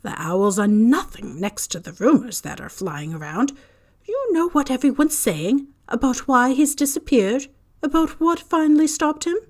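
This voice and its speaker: A stern older witch, sharp and pressing, her voice pitched up and loud with worry, as a woman reading the story puts her on. She pushes her questions urgently, the words trembling. A storybook schoolmistress on edge. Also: very animated, moderate pace. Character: stern older witch professor, anxious and emotional.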